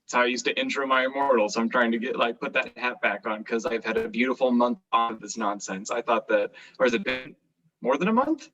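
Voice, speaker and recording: A man of medium pitch co-hosts a podcast with a bright, punchy tone. He talks in casual, joking banter, as one of the regulars among friends. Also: animated, fairly quick, highs cut off, slight background noise. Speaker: podcast co-host.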